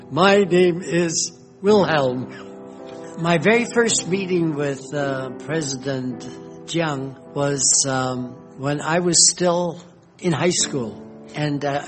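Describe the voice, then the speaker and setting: An older man telling stories from his years abroad, unhurried and gentle, pausing often. His voice sits a bit high for a man, with soft music faintly underneath. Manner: slow, gentle storytelling with fillers. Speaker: retired missionary. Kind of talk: reminiscing personal history.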